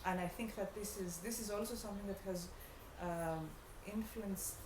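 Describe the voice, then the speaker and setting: A woman from Greece with a Greek accent, a non-native English speaker, answering interview questions reflectively and haltingly as she searches for words. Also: mid-range voice. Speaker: artist being interviewed. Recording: echoey room with clear background noise.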